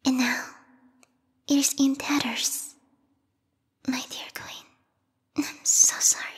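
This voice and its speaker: A woman voicing a lethal yandere vampire bat girl, a queen's knight with formal, theatrical speech, in a breathy whispered ASMR roleplay. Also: sits high, a bit bright. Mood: distraught. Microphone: close binaural microphone. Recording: reverberant hall-like echo.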